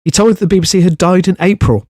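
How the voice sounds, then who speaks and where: A man with a Welsh accent co-hosting a comic podcast, reading stories in a halting, giggly way. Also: high voice, a little mellow, no echo, studio quality. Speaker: podcast co-host. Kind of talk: comedic news reading.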